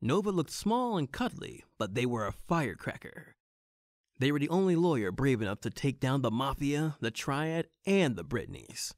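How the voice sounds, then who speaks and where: A wry private eye played by a man tells his noir case in an audio drama. His pitch swings very widely, and the recording is close and dry. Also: sing-song, normal speed, dry recording, lightly acted. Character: wry hard-boiled male private detective.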